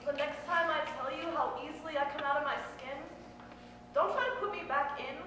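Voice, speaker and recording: A woman's voice: high-pitched, fairly quick. A woman reciting spoken-word poetry in an earnest, impassioned and emphatic way. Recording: very echoey room, distant mic, faint noise.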